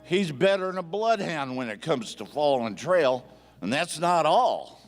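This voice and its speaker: An actor playing a folksy Old West sheriff with an in a live radio play, stumbling over repeated words. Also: male voice, on the high side, lively intonation, moderate pace, soft background music. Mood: eager, folksy. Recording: echoey theatre hall.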